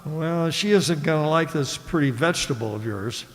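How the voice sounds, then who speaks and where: A man's voice: on the high side, lively intonation, moderate pace, close to an everyday voice. A man playing a wary, plainspoken officer's sidekick in a radio play, with a questioning manner. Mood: skeptical. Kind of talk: radio drama acting. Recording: echoey hall with long reverb.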